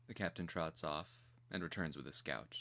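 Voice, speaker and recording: Casting: male narrator for fantasy audio drama, very low voice with a forceful edge, measured and theatrical. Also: creaky.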